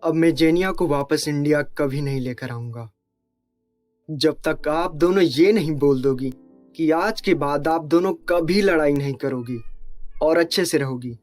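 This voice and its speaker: A woman's voice: low-pitched, measured pace, a little mellow, faint music behind, pitched below the performer's own voice. A woman playing a dutiful, firm young husband and son in an earnest family story. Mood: stern.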